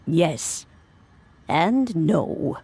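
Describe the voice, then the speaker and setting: A woman's voice: deep voice, very animated, creaky, warm tone, a little hiss or hum, full character voice. An actress playing a serene, wise immortal adeptus in a video game, telling old legends in a formal, reverent way with music behind her. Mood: calm, explanatory. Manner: measured, formal, solemn storytelling delivery. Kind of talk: dramatic video game cutscene narration. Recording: background music under voice.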